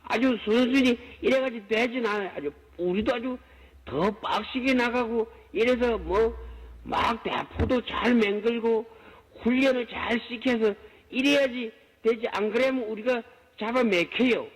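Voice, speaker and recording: A man performs a Joseon scholar-official pleading with his king in a heavy Gangwon Province dialect, his voice pitched high and rambling on in homely, rustic phrases. The clip plays back through a hall with a long echo. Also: unhurried. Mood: urgent, rambling. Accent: Gangwon Province Korean dialect.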